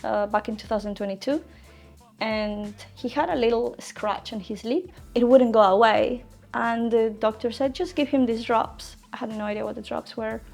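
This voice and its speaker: A woman in her thirties from Ecuador with a Spanish accent, telling stories in an animated, conversational way as an interviewee. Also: a little high, normal speed, gravelly, soft background music. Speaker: interviewee, cat owner.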